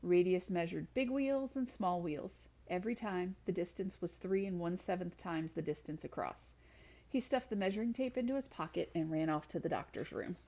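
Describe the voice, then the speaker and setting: A woman's voice: fairly low pitch, normal speed, mellow, rough recording. A woman storyteller reading expressively to children. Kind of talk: expressive storybook read-aloud.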